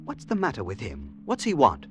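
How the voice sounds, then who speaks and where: An actor playing an infatuated traveler caught up in a wild adventure, dramatic and florid, in old-time radio style. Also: male voice, mid-range voice, huge pitch swings, fairly quick. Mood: puzzled. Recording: old radio recording with music behind.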